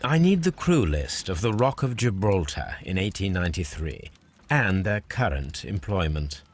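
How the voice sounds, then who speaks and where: An actor playing a keen, confident middle-aged British gentleman detective in a video game, speaking formally and precisely. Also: low-pitched, big pitch swings, normal speed, slightly rough, full character voice. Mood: brisk, commanding. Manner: precise, formal, articulate, self-assured. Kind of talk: video game cutscene acting, deductive monologue.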